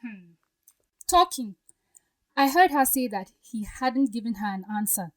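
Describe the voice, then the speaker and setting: A woman acts a defiant young bride-to-be in a radio drama. Her voice is high, with a firm, pointed manner that carries wounded pride. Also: lively intonation, measured pace. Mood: hurt, bitter.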